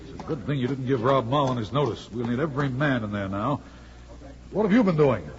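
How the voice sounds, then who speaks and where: An actor playing a blunt, exasperated college football coach in a 1940s radio drama. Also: male voice, medium pitch, lively intonation, lightly acted. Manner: brisk, blunt, grumbling. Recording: muffled, noisy 1940s radio recording.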